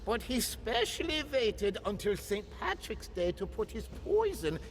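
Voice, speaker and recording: A man's voice: sits high, sing-song, a little slow, in a large hall. A man playing a frantic, self-justifying government scientist in a video game cutscene, with a wordy, theatrical, agitated manner. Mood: anxious explaining. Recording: loud music behind.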